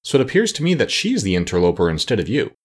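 A man voicing a pompous, self-pitying, highly educated giant bug from a children's storybook, with a wordy way of talking. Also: wildly swooping pitch, fast, breathy edge, full character voice. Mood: argumentative.

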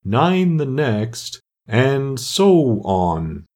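Slow, mournful turtle creature. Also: male voice, medium pitch, sing-song, darkish tone, voice pushed up. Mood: sighing, solemn. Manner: slow, drawn-out, mournful delivery with heavy pauses. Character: melancholy, sighing old Mock Turtle, self-pitying and solemn.

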